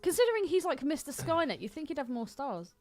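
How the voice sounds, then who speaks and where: A woman's voice: sits high, very animated, quick pace. A woman co-hosting a podcast, chatting casually about a film in a blunt, critical way. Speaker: podcast co-host. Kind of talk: casual film commentary chat.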